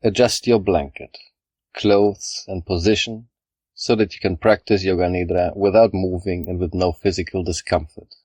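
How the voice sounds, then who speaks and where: A man with a low-pitched voice guides a relaxation practice in calm, measured phrases. He gives each instruction plainly and leaves pauses between them. The sound is dry and close, with very little room. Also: husky, dry recording. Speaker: yoga nidra guide. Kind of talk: guided meditation, scripted narration.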